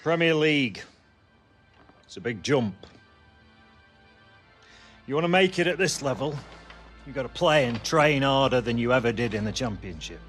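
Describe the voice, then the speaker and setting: An actor playing a stern older football coach in a game drama, speaking bluntly and with gruff authority. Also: male voice, mid-range voice, animated, normal speed, pauses often, a bit bright, close to an everyday voice. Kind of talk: dramatic video game cutscene acting. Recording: music behind the voice.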